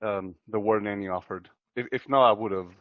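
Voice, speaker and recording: A man from Chile in his thirties, talking in a casual interview as the guest, soft-spoken and hesitant with many fillers. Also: fairly low pitch, fairly quick, dry recording, narrow, tinny audio. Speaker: guest computer scientist.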